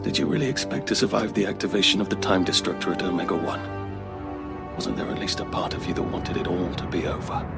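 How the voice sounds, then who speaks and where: A man's voice: low-pitched, fast talker, creaky, over loud music. A man playing an earnest, zealous alien peace negotiator in an audio drama, speaking in impassioned, persuasive oratory. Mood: probing, persuasive.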